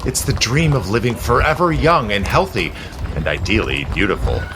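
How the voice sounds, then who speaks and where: An actor playing a suave, zealous immortal gentleman in a period audio drama, with a smooth, persuasive, formal manner. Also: lowish voice, lively intonation, normal speed, background noise. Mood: impassioned. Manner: smooth, persuasive, theatrical, genteel formal phrasing.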